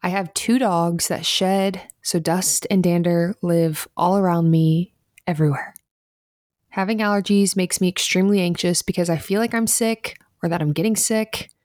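A woman's voice: lowish voice, steady tone, normal speed, darkish tone, dry recording, studio quality. A woman podcast host reading a scripted sponsor spot in a chatty, personal style.

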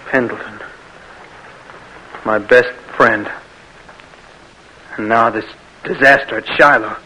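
An actor playing an earnest young son eager to join the war, with an urgent manner, in an old radio drama. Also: male voice, mid-range voice, fairly even intonation, takes it slowly, sharp tone, a little hiss or hum, poor audio. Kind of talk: 1940s radio acting.

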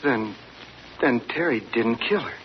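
Muffled old radio sound, man playing young farm son, rural American accent, plain-spoken, medium pitch. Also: unhurried, a little hiss or hum, lightly acted. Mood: subdued. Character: earnest young farm son telling his story. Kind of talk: old-time radio drama narration and dialogue. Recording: dull old radio recording.

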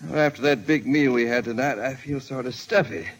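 A man's voice: medium pitch, a little fast, close to an everyday voice. Man playing a weary 1940s radio-ad husband. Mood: weary, matter-of-fact. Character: ordinary stuffed, weary husband at bedtime. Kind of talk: 1940s radio commercial acting.

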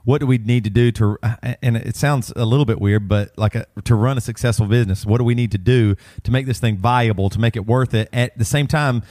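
A man's voice: mid-range voice, brisk, few pauses, a little mellow, dry recording, clean studio sound. A man hosting a casual podcast interview, asking informal questions piled one on another. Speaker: podcast host and band musician.

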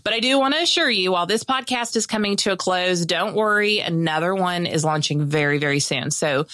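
A woman's voice: fairly low pitch, a little fast, a bit bright, dry recording, clean studio sound. A woman hosting her own business podcast, speaking in an upbeat, emphatic way, sounding nasal from a head cold. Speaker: podcast host. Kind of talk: casual solo podcast talk.

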